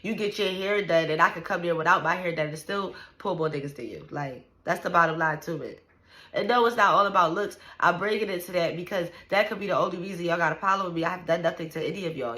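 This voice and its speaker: A woman's voice: on the low side, fast talker, bright, forceful tone. A woman in her thirties venting casually to the camera, blunt and profane, with lots of "like" and "you know what I'm saying". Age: 30s. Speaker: vlogger.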